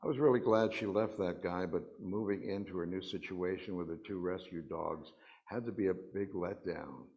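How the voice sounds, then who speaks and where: A man's voice: low-pitched, normal speed, a little mellow. A man reading personal stories aloud at a worship service, in a dull, slightly muffled recording.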